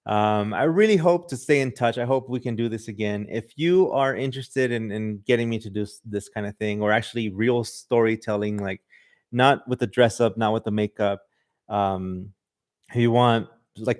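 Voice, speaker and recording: Male podcast host, Mexican-tinged accent, lowish voice, rambling livestream chat. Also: moderate pace. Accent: Mexican Spanish influence. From Southern California. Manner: loose and chatty, many "like" and "um" fillers. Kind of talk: casual livestream chat reading viewer comments. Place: livestream in front of a camera.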